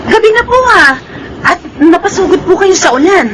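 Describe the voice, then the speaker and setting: A helpful young Filipina villager in a radio drama. Her voice is extremely high and polite, with faint background noise. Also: animated, moderate pace, fairly bright tone. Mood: concerned, willing. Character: obliging, kind young village woman helping neighbours.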